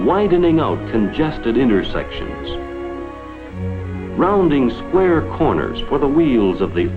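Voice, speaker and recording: An American man delivering scripted 1950s promotional film narration in an earnest, oratorical, dramatic manner. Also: medium pitch. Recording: vintage 1950s film soundtrack, muffled.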